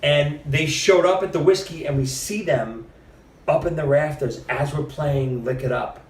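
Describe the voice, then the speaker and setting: A middle-aged man, a podcast guest, telling stories in a casual, animated way and acting out what other people said. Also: on the high side, a little slow. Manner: animated storyteller, quotes others with lively shifts. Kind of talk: casual storytelling interview. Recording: roomy, mic at a distance.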